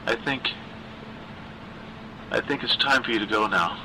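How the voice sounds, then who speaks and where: A man reads the part of a tired middle-aged secretary, a woman who is afraid but keeps reasoning. The delivery is bright and intense, earnest and halting, in an audio horror story with faint noise behind it. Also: mid-range voice, poor audio. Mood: frightened pleading.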